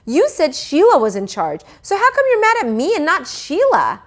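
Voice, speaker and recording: A sulky young schoolboy in a children's story, voiced by a woman. He complains indignantly in a raised, high voice that swings with his grievance. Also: wildly swooping pitch, moderate pace. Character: aggrieved, sulky fourth-grade boy.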